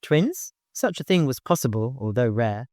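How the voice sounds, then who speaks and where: A man's voice: medium pitch, lively intonation, moderate pace, no room sound, clean studio sound. A man reading scripted literary fiction as an audiobook narrator.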